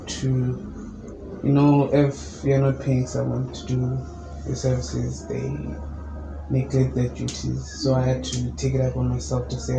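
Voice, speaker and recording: A young man with a low, gravelly voice speaks in an even, flat tone. He answers calmly and at length, as a musician being interviewed. The room echoes, and a steady noise sits behind him. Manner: calm, measured, explains at length. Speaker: musician guest.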